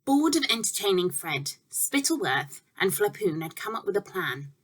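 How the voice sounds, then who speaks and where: A woman reads a children's storybook aloud at a medium pitch. Her delivery is measured and expressive, with clear phrasing and deliberate emphasis on important words.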